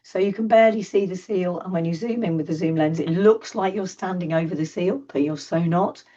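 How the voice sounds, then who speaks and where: A woman with a hoarse, failing voice giving a chatty, apologetic online presentation for a charity from her desk. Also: fairly low pitch, brisk, warm tone. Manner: chatty, apologetic, self-deprecating. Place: home desk. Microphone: computer mic on video call. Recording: distant, dull video call audio.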